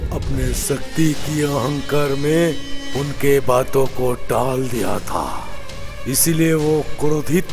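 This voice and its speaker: Slow, menacing cartoon demon. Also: male voice, mid-range voice, music in the background. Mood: proud. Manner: slow, threatening, drawn-out phrases. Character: huge menacing male demon, proud and gloating. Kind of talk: Hindi children's cartoon story acting.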